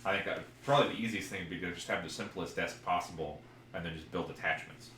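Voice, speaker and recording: A man's voice: low voice, fast. A man co-hosting a podcast, chatting casually and reading a mystery game aloud. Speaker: podcast co-host. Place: echoey room, live streamed podcast. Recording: roomy echo with background noise.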